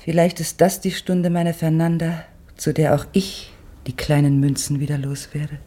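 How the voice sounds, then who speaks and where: A woman playing a gentle, pensive mother in a literary radio play, speaking in a measured, tender, poetic way. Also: deep voice, little pitch movement. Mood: tender, wistful.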